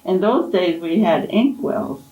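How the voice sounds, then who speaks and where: A woman in her eighties, an oral history interviewee, gently reminiscing in an unhurried, fond way. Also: lowish voice, lively intonation. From Kansas, USA. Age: 80s. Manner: gentle, unhurried, fond storytelling with soft laughs. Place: college library. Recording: echoey library room.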